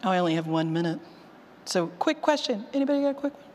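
A woman's voice: medium pitch, animated, fast talker, a little mellow, a little hiss or hum. A woman giving a casual, chatty workshop talk and taking questions, informal and self-deprecating, with a ready laugh. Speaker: conference speaker on audio drama writing. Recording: echoey hall with long reverb.

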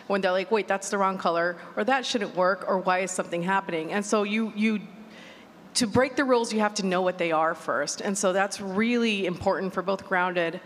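A woman on a live panel, explaining her creative craft in a conversational way. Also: mid-range voice. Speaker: audio fiction creator on a panel. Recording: echoey hall, clear ambient noise.

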